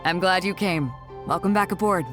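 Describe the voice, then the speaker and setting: A woman's voice: on the low side, normal speed, music in the background, close to an everyday voice. An actress playing a confident, easygoing woman fleet captain in a video game, with an assured, commanding, colloquial manner. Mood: warm, candid.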